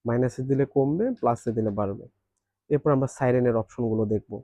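A man's voice: medium pitch, fairly even intonation, fast talker, mellow, no echo. A man giving a step-by-step product demonstration, explaining each function in a practical way.

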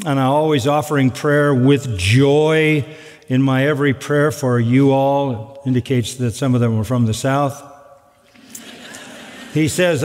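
Older man preaching in a reverberant sanctuary. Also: mid-range voice. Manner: measured, earnest preaching with dry asides. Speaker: pastor. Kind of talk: expository sermon. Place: large church sanctuary at a wooden pulpit. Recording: long reverb of a large sanctuary.

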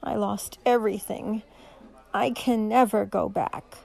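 An actress plays a hardened young homeless woman in a radio drama. She is guarded and blunt, speaking slowly with a lot of vocal fry. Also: mid-range voice, fairly even intonation, darkish tone. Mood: subdued. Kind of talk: radio mystery drama acting.

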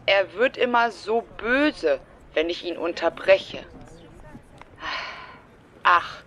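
Bright, slow-talking doting mother played by an actor. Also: medium pitch, lively intonation. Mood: sweetly fussing. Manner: sweet, chatty, fussing. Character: doting, naive older mother of a volatile son. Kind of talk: parody detective audio play.

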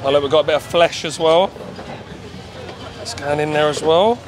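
A man's voice: on the high side, fairly even intonation, normal speed. A man, vlogging chattily and enthusiastically about street food, talking into a camera held at arm's length. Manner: chatty, enthusiastic, addresses viewers as guys. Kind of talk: casual street food vlog. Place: outdoor night market. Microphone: handheld camera at arm's length. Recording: faint outdoor market noise.

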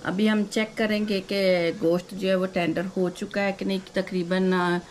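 Hoarse woman demonstrating a home recipe. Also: medium pitch, level tone, moderate pace, a little hiss or hum. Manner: chatty, explains each step. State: sore throat, hoarse. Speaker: cooking channel host. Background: frying and sizzling in a kitchen.

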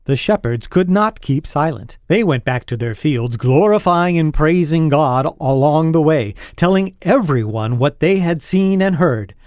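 A man narrating a scripted dramatic story in old radio style, earnest and deliberate. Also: fairly high pitch, animated, darkish tone, no room sound, clean studio sound.

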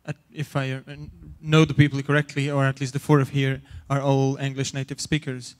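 A man's voice: medium pitch, completely flat delivery, a little fast, darkish tone. A man in the audience of a large lecture hall adding a tentative remark on a handheld mic. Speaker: audience member. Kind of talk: audience question.

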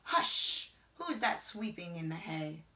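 A middle-aged woman gives an expressive children's read-aloud in a roomy indoor space. Her delivery is slow and rhythmic, with wide swings in pitch. She often softens into a hushed whisper. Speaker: storyteller reading a picture book aloud.

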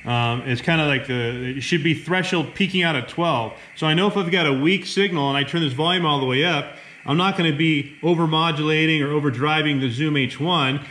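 A man's voice: on the high side, brisk, sharp tone, poor audio. A middle-aged American man narrating a casual hands-on how-to as a hobby radio operator, speaking at a table indoors. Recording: clear background room noise.

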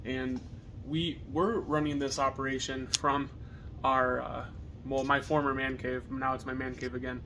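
A young man with an American accent chatting casually to camera as a reseller, with halting phrasing. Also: medium pitch, little pitch movement. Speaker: reseller co-hosting a video channel. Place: partially finished basement, indoors. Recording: noisy basement room recording.

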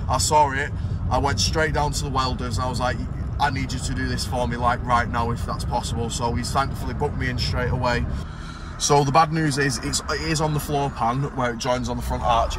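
A man with a British accent giving casual, informal and explanatory commentary as a car restoration vlogger. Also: mid-range voice. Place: in a car, out on a street, and at a computer. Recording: noisy handheld recording, vehicle ambience.

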